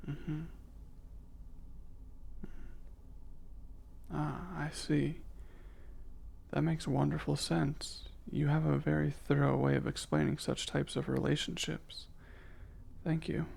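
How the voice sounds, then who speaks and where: A man playing a curious, reserved male alien in an ASMR roleplay, speaking softly in formal, precise and hesitant wording. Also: a little high, flat delivery, a little slow, creaky, breathy edge, full character voice. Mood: attentive, intrigued. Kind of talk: ASMR roleplay, soft intimate delivery.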